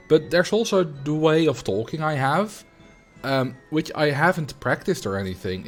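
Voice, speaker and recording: A man with a Dutch accent, speaking English as a second language, chats easily with his stream audience in a mid-range voice. His delivery is loose and unhurried, full of ums and small chuckles as he answers chat. Faint music plays underneath. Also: medium pitch. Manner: relaxed and rambling, frequent ums and soft laughs. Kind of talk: casual livestream chat, reading and answering chat.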